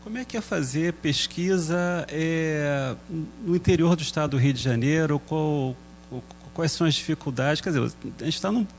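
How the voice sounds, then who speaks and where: A man's voice: fairly high pitch, moderate pace, a little hiss or hum. A man hosting a TV interview, asking short probing questions. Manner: asks short probing questions, brief backchannels. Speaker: TV interviewer host.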